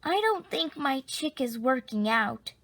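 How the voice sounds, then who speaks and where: A woman's voice: high voice, very animated, measured pace, fairly bright tone, exaggerated voice. A woman voicing a plain-spoken, unsure young schoolgirl in a children's storybook. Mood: unsure, matter-of-fact.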